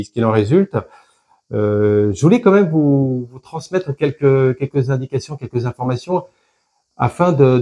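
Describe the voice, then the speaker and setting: A man giving an unscripted fortune-telling card reading, explaining to viewers in a hesitant, halting way that often repeats phrases. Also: mid-range voice, dark tone. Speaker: fortune teller, tarot card reader.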